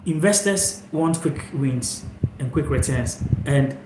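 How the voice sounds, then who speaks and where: A man's voice: fairly high pitch, slow. A young man from Ghana hosting a live stream, talking reflectively to viewers into a phone held sideways, rambling with fillers. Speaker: channel host. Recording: echoey room, faint noise.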